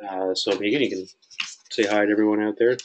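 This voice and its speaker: A man with a Canadian accent hosting a webinar as client services manager, relaxed and friendly, with frequent uhs. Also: lowish voice, fast, faint music behind, rough recording. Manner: friendly, relaxed, filler-heavy. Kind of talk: webinar introduction.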